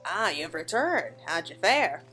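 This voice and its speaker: A woman's voice: on the low side, very animated, a little slow, pushed and bright, soft background music, voice pushed down, exaggerated voice. Woman reading a courtly, gracious festival attendant. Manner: formal, polite, archaic wording.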